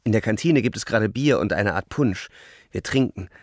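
An actor playing a bitter, world-weary young front-line soldier narrating a radio drama in a measured, grim, gravelly way. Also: male voice, lowish voice, lively intonation, dry recording, clean studio sound. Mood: wistful, restrained excitement. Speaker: first-person narrator.

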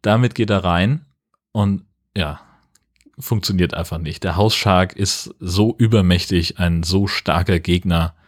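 A man's voice: deep voice, unhurried. A man co-hosting a casual film podcast in a wry, conversational way. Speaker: podcast co-host.

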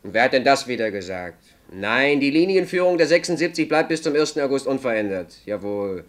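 An actor playing a matter-of-fact, cautious office colleague in a German radio play. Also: male voice, medium pitch, big pitch swings, a little fast, natural voice. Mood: brisk, wary.

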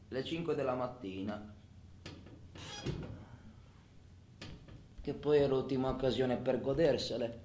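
An actor playing a bitter, cynical prisoner in a colloquial radio theatre monologue, muttering to himself and breaking into loud outbursts. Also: male voice, mid-range voice, a little hiss or hum, natural voice. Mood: weary, brooding. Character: cynical, bitter male convict musing in his cell. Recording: roomy, echoing sound.

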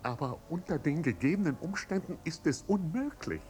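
A man's voice: fairly high pitch, lively intonation, normal speed, darkish tone, natural voice. Man acting a pompous, stilted manservant. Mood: grave, formal. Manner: stilted, elaborately formal, deferential. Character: fussy, loyal, pompous manservant of a marquis. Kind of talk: 1950s German radio play acting.

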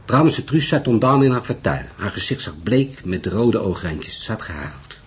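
A man's voice: on the low side, big pitch swings, normal speed. A man reading a crime novel as an audiobook narrator, in scripted storytelling style. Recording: faint background noise.

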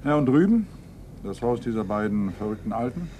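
A man's voice: medium pitch, sing-song, fairly quick, warm tone, slight background noise, lightly acted. A man acting a seasoned, middle-aged police inspector in a German radio crime drama, curt and authoritative. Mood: brusque. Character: seasoned, brusque police inspector giving orders.

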